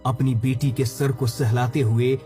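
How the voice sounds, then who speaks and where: A man narrates a scripted horror tale as the storyteller. His pitch sits in the middle range for a man. Music plays beneath his voice. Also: flat delivery, unhurried, mellow. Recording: music under the voice.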